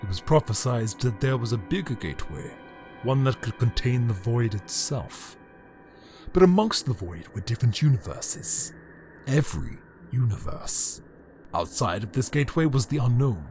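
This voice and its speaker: A man playing a bitter, power-hungry immortal alien emperor in a dramatic audio drama monologue, brooding and grandiose, with deliberate theatrical pauses. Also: medium pitch, animated, darkish tone, faint music behind, close to an everyday voice. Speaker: reader of an audio story.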